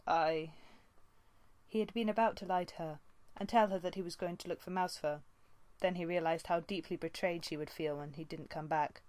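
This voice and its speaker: A woman's voice: lowish voice, steady tone, a little fast, darkish tone, no echo. A woman narrating an animal fantasy novel as an amateur audiobook reader. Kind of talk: scripted storybook narration.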